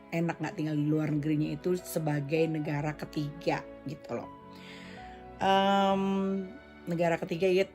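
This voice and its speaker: Low, mellow-voiced woman podcaster. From Indonesia. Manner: conversational, mixes in English phrases. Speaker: podcast and vlog host. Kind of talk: casual solo podcast chat.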